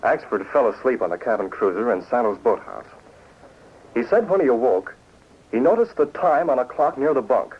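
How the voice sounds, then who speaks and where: Confident masked crimefighter, mid-range voice, lively intonation, lo-fi 1940s radio serial. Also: male voice, medium pitch, moderate pace, breathy edge. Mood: explaining. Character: assured, shrewd male masked crimefighter and publisher. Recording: dull 1940s radio recording.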